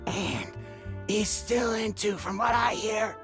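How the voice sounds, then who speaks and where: A man's voice: sits high, lively intonation, slow pace, music in the background, exaggerated voice. An actor playing a drunk, jovial, teasing man in a video game, rambling in a chummy drawl. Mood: teasing, gossipy. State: drunk, acted. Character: tipsy, jovial, teasing male business pal.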